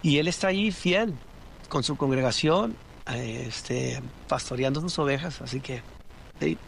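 A man's voice: mid-range voice, a little slow, creaky, a little hiss or hum. A man, telling his story as a guest in a casual interview, with many restarts and fillers. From Rio Grande Valley, Texas.

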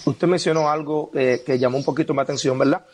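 High-voiced TV host. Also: male voice, fairly even intonation, fast talker. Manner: affirming, interjects praise. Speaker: TV interviewer.

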